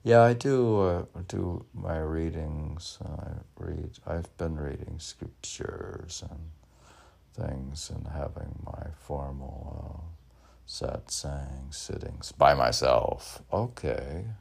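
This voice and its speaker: A man in his sixties rambling alone between lines of his own poetry, halting, questioning himself and repeating phrases. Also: rumbling low, gravelly, a little mellow, slightly rough. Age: 60s. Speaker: poet reading own work and commenting. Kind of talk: rambling solo monologue mixed with poem reading.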